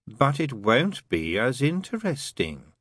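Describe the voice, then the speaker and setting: A man's voice: medium pitch, very animated, takes it slowly, fairly bright tone, breathy edge, dry recording, studio quality, voice pushed up, exaggerated voice. A man voicing a prim, fussy, genteel male angel with polite, old-fashioned, faintly pompous phrasing, in an audiobook story. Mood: strained.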